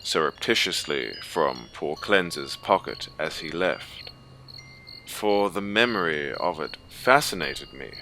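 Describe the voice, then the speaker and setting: Casting: male actor as haughty, cold German officer for horror audio drama. Deep register, slow formal delivery, ambient soundscape behind. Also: expressive, pushed and bright, exaggerated voice. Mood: grave, detached. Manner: formal, measured, grave. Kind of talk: scripted audiobook horror narration. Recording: clear ambient noise bed behind voice.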